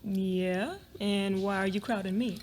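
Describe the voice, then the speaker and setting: A woman's voice: medium pitch, fairly even intonation, a little slow, darkish tone, lightly acted. A woman playing a wary, curious, outspoken young college student in a dramatic reading of a novel. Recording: noisy live event recording.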